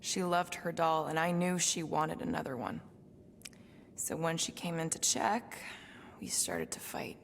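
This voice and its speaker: An actress playing a guarded, weary alien former assassin in a cinematic video game, speaking in a halting, reflective way. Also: female voice, low voice, big pitch swings, creaky, a little hiss or hum, exaggerated voice. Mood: sorrowful, vulnerable.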